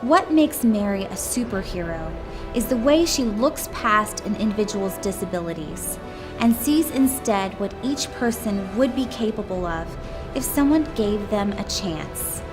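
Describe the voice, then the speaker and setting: A woman with an average-pitched voice reads out a written tribute as narration. Music is plainly audible behind the voice. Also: animated, unhurried. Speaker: reader of nomination stories. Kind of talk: scripted narration read aloud. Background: background music.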